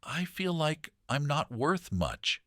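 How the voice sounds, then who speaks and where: A man voicing a quiet, hesitant little girl full of self-doubt in a children's story. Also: a little high, sing-song, slow, no room sound, studio quality, pitched above the performer's own voice, full character voice. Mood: downcast.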